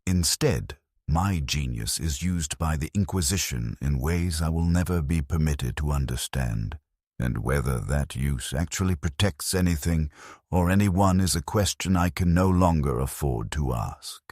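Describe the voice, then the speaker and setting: Man, extremely deep voice, lively intonation, somber measured storytelling, dry close sound. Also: no echo. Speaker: narrator. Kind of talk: scripted first-person story narration.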